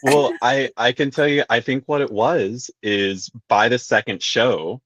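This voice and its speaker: A man who is a burlesque performer, a guest on a talk show, speaking softly with shy laughs and hesitant fillers. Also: medium pitch, steady tone, unhurried, no echo, lo-fi sound. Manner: soft-spoken, laughs shyly, hesitant fillers. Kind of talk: casual interview chat.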